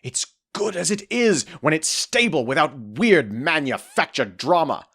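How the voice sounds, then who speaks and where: A man's voice: a little high, animated, measured pace, vocal fry, bright, forceful tone, slightly rough, exaggerated voice. A man playing a petulant, jealous fairy king in a modern comedy audio drama, exasperated and stammering when flustered. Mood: stubborn, exasperated.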